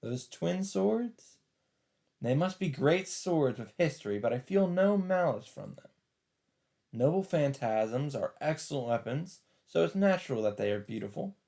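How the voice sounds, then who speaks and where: A man's voice: on the high side, sing-song, unhurried, vocal fry, warm tone. A man doing a let's play, reading visual novel narration aloud with casual, sometimes sweary commentary. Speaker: let's player.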